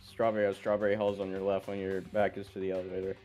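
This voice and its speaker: A man's voice: deep voice, completely flat delivery, fast talker, darkish tone. A man playing a game in a casual, informal way, sounding a little puzzled. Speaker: game stream co-player. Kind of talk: casual chat and reading game dialogue aloud. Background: game music.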